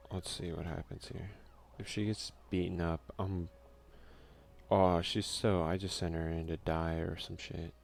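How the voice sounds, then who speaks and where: Low, creaky-voiced man playing ASMR games. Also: little pitch movement, measured pace, darkish tone. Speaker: gaming video creator and player. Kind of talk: ASMR let's play, reading game text aloud and commenting.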